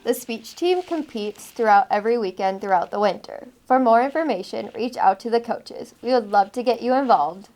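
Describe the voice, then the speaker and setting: A woman's voice: fairly high pitch, lively intonation, normal speed, hardly any pauses, a little mellow, faint music behind. Upbeat teen girl news anchor. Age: teenager. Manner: upbeat, scripted. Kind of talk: scripted news reading.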